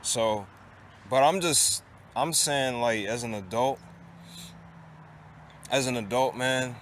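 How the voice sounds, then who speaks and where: A man's voice: medium pitch, slow, a bit bright, slight background noise. A man in his late twenties talking casually to his viewers in a rambling way, with many restarts and fillers. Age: 20s. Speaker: vlogger. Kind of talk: casual monologue to camera.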